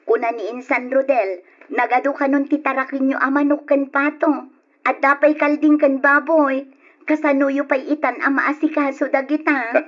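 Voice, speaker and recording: Actress playing a high-voiced, affectionate Ilocano daughter. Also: female voice, level tone, moderate pace, natural voice. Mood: affectionate concern. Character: affectionate young woman, daughter coming home, later grieving. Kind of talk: Ilocano radio drama acting. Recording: dull lo-fi radio recording, music behind.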